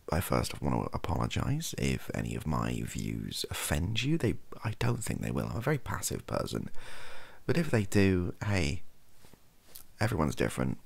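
Very deep, gravelly, soft-spoken man. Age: young adult. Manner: rambling, self-deprecating, hesitant. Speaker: ASMR video creator. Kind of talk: softly spoken ASMR chat. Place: small bedroom at a desk. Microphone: close microphone.

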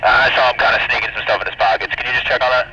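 Male, twenties, American, extremely quick casual banter, heavy radio noise on walkie-talkie. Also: medium pitch, steady tone, highs cut off. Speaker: prankster playing the boss over walkie-talkie.

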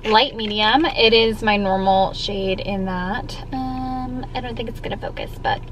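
A woman's voice: fairly high pitch, unhurried, a bit bright, slight background noise, poor audio. A young woman chatting in an upbeat, casual way as a vlogger, filming herself at arm's length inside a parked car. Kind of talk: casual vlog chat. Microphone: camera held at arm's length.

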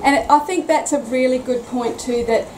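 A woman's voice: high-pitched, normal speed, recorded from a distance. A woman in her forties with an Australian accent, a homeowner talking earnestly about her renovation. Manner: earnest, effusive. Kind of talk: casual chat. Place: house under renovation, indoors. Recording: echoey room, off-mic, loud noise.

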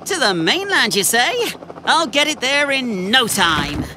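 A woman voicing a cheerful, helpful young male tank engine in a children's cartoon, with an eager, chirpy, expressive manner. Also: high voice, measured pace, noisy background. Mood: sympathetic, helpful. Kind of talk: children's animated cartoon acting.

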